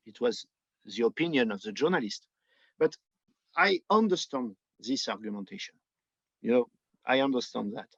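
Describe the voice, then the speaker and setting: A Frenchman talks slowly in French-accented English, feeling for his words as he goes. His speech is conversational commentary from a podcast guest, and the sound is dull and lo-fi. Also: medium pitch, vocal fry. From France. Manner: halting, searching for words in non-native English. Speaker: podcast guest, book editor. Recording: dull, roomy, lo-fi remote connection.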